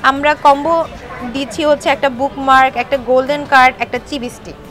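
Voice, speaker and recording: A woman's voice: high-pitched, little pitch movement, fast talker. A woman working as a stall seller, presenting products in an informal interview and mixing English words into her speech. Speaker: bookstall seller. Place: busy book fair stall. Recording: busy crowd noise behind.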